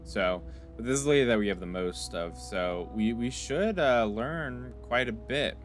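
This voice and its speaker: A young man casually commenting on a game as he plays, reading its lines aloud and thinking out loud with fillers. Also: medium pitch, normal speed, faint music behind. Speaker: gaming YouTuber.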